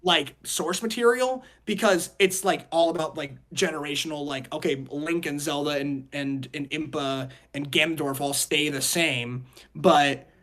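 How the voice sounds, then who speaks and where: A man's voice: on the high side, unhurried, hardly any pauses, fairly bright tone. A man co-hosting a podcast, giving rambling, casual opinions on entertainment. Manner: rambling, hedging with frequent 'like'. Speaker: podcast co-host. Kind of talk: casual opinionated chat about movies and games.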